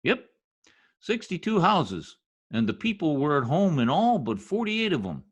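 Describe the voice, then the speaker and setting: A man plays a bungling, boastful husband in a 1950s-style radio comedy. His husky voice swoops all over as he teases with folksy bluster and mangled grammar. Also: a little high, sing-song, measured pace, dull audio, full character voice. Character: gleeful needling blowhard husband, bungling new census taker. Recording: remote video-call radio play recording.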